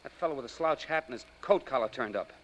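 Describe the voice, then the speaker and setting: An American actor playing an easygoing, loyal young friend in a 1940s radio drama. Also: male voice, mid-range voice, fast talker, a bit bright, highs cut off, natural voice. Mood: alarmed, urgent. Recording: noisy old radio recording.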